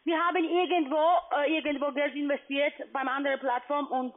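A woman's voice: high voice, fairly even intonation, brisk. A non-native woman arguing insistently as a call-centre manager over a phone line. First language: not German. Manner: insistent, interrupting. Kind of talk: phone argument. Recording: tinny narrowband phone line.

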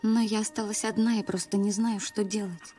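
A woman's voice: mid-range voice, flat delivery, normal speed, dark tone, lightly acted. A woman playing a genteel, lonely, devoted young woman in a dubbed film drama, speaking formally and earnestly. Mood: sorrowful pleading. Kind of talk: dramatic film dub, dialogue and letter narration. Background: film music.

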